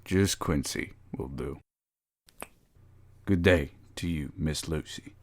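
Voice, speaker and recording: A man's voice: rumbling low, slow, dry recording, clean studio sound, heavily acted. A man playing an earnest, humble cowboy suitor, folksy and courteous, in an audio drama. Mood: gracious disappointment.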